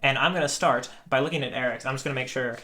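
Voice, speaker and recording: A man co-hosting a podcast, chatting casually in an earnest way with deliberate phrasing. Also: medium pitch, bright, forceful tone. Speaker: podcast co-host. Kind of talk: casual chat.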